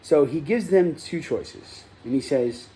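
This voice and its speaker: A man's voice: a little high, animated, unhurried, warm tone, low-quality recording. A man hosting his own review show, recapping an episode in a casual, rambling way that often corrects itself mid-sentence. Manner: rambling, loose, self-correcting delivery.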